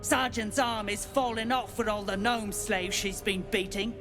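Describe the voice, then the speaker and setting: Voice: mid-range voice, fairly even intonation, measured pace, music in the background, close to an everyday voice. An actor playing a crude, foul-mouthed dwarf guard in a video game, blunt and mocking. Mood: gruff, threatening.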